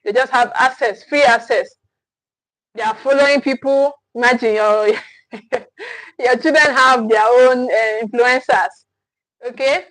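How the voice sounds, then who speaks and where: A woman's voice: on the high side, a little slow, fairly bright tone, no room sound, poor audio. Earnest Nigerian woman teaching parents. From Nigeria, Edo State. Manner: earnest and emphatic, repeats points, asks "okay?". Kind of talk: live-streamed parenting class.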